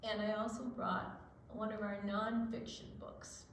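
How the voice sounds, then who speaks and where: An older woman co-hosting a children's storytime, explaining and reading in a gentle, deliberate, expressive way. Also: medium pitch, very echoey, slight background noise, rough recording. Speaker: children's storytime co-host and reader.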